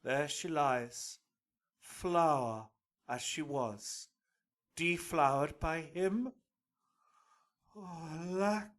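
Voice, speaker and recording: A man's voice: fairly high pitch, fairly bright tone. An actor playing an imperious noble father broken by grief, declaiming in a Shakespearean radio drama. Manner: declamatory, lamenting.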